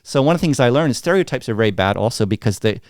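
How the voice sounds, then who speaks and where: A middle-aged American man tells stories bluntly, with animated energy, and voices the people in them as he goes. His voice sits in a mid range. The sound is dry and close. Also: mid-range voice, racing, clear, resonant tone, no echo. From United States. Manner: blunt, animated, acts out quoted dialogue. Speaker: guest. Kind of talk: candid storytelling interview.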